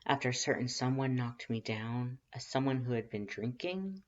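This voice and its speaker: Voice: medium pitch, animated, normal speed, highs cut off, natural voice. A performer voicing a playful male skeleton who talks in a teasing, flirtatious purr. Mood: teasing, flirty.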